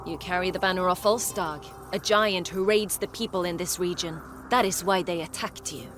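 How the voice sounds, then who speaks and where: An actress playing a composed Norse valkyrie who serves a god, explaining and guiding in video game cutscenes. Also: female voice, fairly low pitch, normal speed, a bit bright, soft background music, lightly acted. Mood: calm. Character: Norse valkyrie servant of Odin, calm and wise. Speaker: game character.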